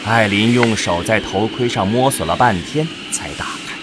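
A man reading scripted narration for a science fiction audio drama in a deliberate, dramatic way. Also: fairly low pitch, over loud music.

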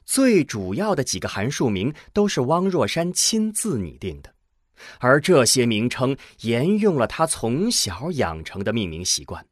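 Husky, expressive male storyteller. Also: medium pitch, no room sound, clean studio sound. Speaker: narrator of an audio drama. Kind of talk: scripted science fiction narration. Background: music under the voice.

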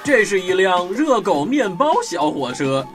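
Children's radio play: high, lively, cheerful young boy, played by a man, light music behind. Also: measured pace. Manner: enthusiastic. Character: cheerful young boy train driver Nick. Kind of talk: children's audio drama.

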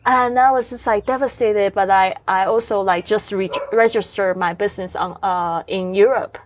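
A young woman whose first language is Mandarin talks candidly in a relaxed podcast chat. She uses plenty of fillers and restarts and keeps an average pitch. It's an easygoing, conversational delivery. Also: moderate pace, slight background noise. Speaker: podcast host. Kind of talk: casual conversational interview.

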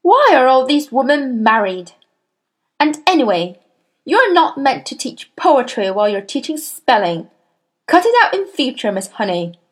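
A woman voicing a sarcastic, bullying headmistress who barks and sneers loudly. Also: a little high, big pitch swings, normal speed. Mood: scornful. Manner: barking, sneering, loud.

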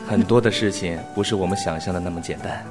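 A man playing a wistful, gentle young man with a reflective delivery in an audio drama. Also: sits low, fast talker, lightly acted. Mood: resigned longing. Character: wistful young man longing for a lost love. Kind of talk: Chinese audio drama acting. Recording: music behind the voice.

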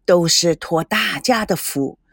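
A woman voicing a kindly, modest elderly grandmother in an audiobook, gentle and self-deprecating. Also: lowish voice, measured pace, vocal fry, slightly rough, no room sound, clean studio sound, full character voice.

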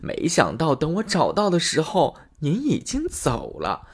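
A man's voice: a little high, wildly swooping pitch, measured pace, slightly rough, pitched above the performer's own voice, exaggerated voice. A man voicing a greedy rich man who frets and wheedles in a storybook tale. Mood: wheedling. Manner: wheedling, fretful.

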